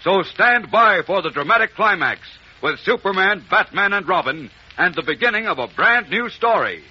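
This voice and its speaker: A man's voice: high-pitched, lively intonation, normal speed, husky. A man announcing and narrating a 1940s radio serial in a dramatic, emphatic scripted style. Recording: muffled, faintly noisy 1940s radio recording.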